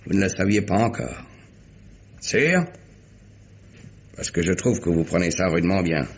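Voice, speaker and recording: An actor playing a brutal, sneering, impatient police lieutenant in a French radio crime drama, with husky, curt, threatening, slangy delivery. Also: male voice, low voice, expressive, a little slow, full character voice. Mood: bitter, impatient. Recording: dull vintage radio recording.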